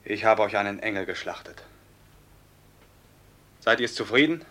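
An actor playing a tormented, brooding robber captain in a classical radio play, with solemn theatrical diction that swings from hushed to outcry. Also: male voice, on the low side, expressive, moderate pace. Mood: bitter, solemn. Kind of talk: classical German radio play acting, declamatory stage diction.

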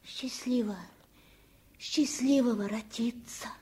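High-voiced old village housekeeper. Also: female voice, slow, darkish tone, husky, full character voice. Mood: frightened, tender. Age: older. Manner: folksy rustic dialect, exclamatory. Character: old peasant housekeeper, excitable, superstitious, scolding, tender-hearted. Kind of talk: Soviet radio drama acting.

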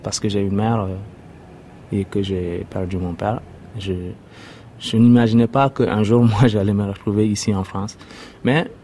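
Hesitant Sudanese-born man, low voice. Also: fairly even intonation, mellow, a little hiss or hum. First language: Arabic. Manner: hesitant, many pauses and fillers. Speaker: interviewee, refugee. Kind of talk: personal testimony.

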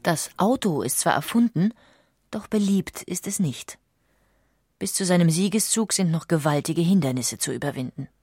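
A woman narrates a documentary script with a fairly low voice. The sound is very dry, and she tells the story in a measured way. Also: lively intonation, darkish tone, slightly rough, no echo.

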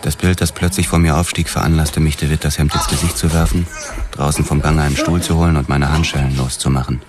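An actor playing a hard-boiled police detective who narrates a radio drama in a terse, grim, literary way. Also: male voice, extremely low pitch, little pitch movement, quick pace. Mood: grim, detached. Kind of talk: scripted first-person radio drama narration. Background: music.

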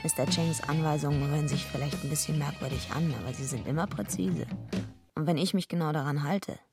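Gravelly, streetwise young woman, dry. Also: low voice, brisk, over loud music. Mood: wry, matter-of-fact. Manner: dry, matter-of-fact. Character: tough, streetwise young woman wrestler, wry narrator. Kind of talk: first-person radio drama narration.

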